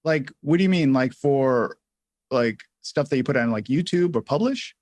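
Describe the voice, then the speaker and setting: Male livestream co-host, mid-pitched, dry close mic; informal, self-deprecating, chatty. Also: animated, normal speed, darkish tone, no echo. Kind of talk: casual tutorial chat.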